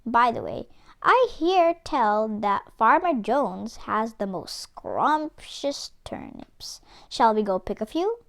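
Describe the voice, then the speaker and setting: A woman's voice: on the high side, animated, takes it slowly, creaky, fairly bright tone, exaggerated voice. A woman voicing a mischievous, falsely charming wolf in a children's storybook, with a coaxing, theatrical delivery. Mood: sly, coaxing. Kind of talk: children's storybook read-aloud.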